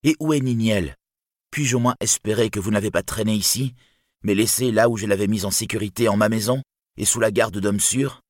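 Man voicing a proud, wrathful wounded warrior, husky. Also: on the low side, steady tone, a little fast, no room sound, studio quality, pitched above the performer's own voice, lightly acted. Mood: indignant, demanding. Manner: declamatory, indignant, imperious. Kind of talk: dramatic fantasy storybook reading.

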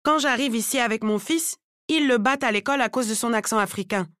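A narrator, a woman, plays an animated African immigrant hairdresser from a novel. She is blunt and emphatic, pitched high, repeating herself in broken grammar, in a dry recording. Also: fast talker, dry recording, natural voice. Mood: indignant, animated.

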